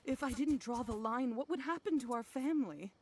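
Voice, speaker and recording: A woman's voice: high-pitched, expressive, a little fast, a little hiss or hum. A woman voicing a worried middle-aged wife in a game, speaking wearily and apologetically. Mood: weary. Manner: weary, apologetic, soft-spoken. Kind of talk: game voice acting.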